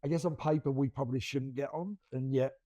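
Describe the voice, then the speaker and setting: A man's voice: mid-range voice, steady tone, quick pace, mellow, no echo. A middle-aged man, co-hosting a casual podcast and rambling through many restarts with self-deprecating laughs. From England. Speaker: podcast co-host. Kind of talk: casual chat.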